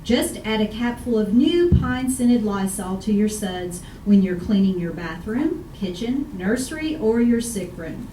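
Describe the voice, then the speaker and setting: A woman's voice: medium pitch, lively intonation, a little slow, hardly any pauses, warm tone, recorded from a distance. A woman announcer reading a scripted old-time radio advertisement. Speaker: commercial announcer. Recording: roomy, noisy old radio, off mic.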